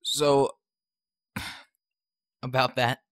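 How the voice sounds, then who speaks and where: A man's voice: medium pitch, level tone, extremely slow, clean tone, dry recording, clean studio sound. A man playing a young, guilty yet defiant kid among criminals in an audio drama, hesitant and earnest.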